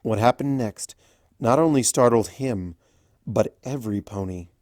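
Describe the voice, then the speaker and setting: A narrator reading a story aloud in an expressive, scripted storytelling style. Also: mid-range voice, unhurried, dry recording, studio quality.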